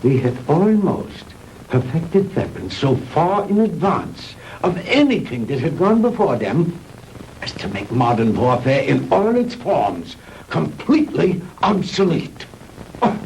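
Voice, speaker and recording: An actor playing a grandiose older Nazi scientist in a 1960s B-movie, lecturing pompously and deliberately. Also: male voice, on the high side, sing-song, vocal fry, a little mellow, full character voice, low-quality recording. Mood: boastful, wistful. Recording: hissy old 1960s film soundtrack.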